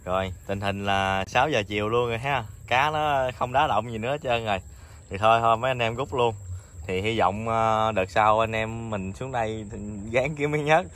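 A man's voice: on the low side, moderate pace. A man with a southern Vietnamese Mekong Delta accent, a fishing vlogger chatting casually and easily as he narrates from a wooden boat on a river. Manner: chatty, easygoing, excited bursts. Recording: outdoor river noise.

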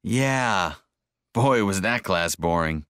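A man's voice: low-pitched, animated, slow, fairly bright tone, clean tone, no echo, clean studio sound, full character voice. A man playing a casual, easygoing man in a scripted textbook dialogue. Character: casual, easygoing man making small talk.

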